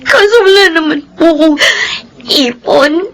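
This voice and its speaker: A woman's voice: extremely high pitch, a little slow, a bit bright, heavily acted. A woman playing a devoted, meek young maid in a Filipino radio drama, polite and emotional. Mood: tearful, sad.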